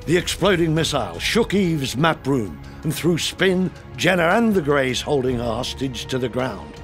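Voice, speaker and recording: A man's voice: mid-range voice, very animated, normal speed, faint music behind. A man narrating a scripted sci-fi audio drama in dramatic storytelling style.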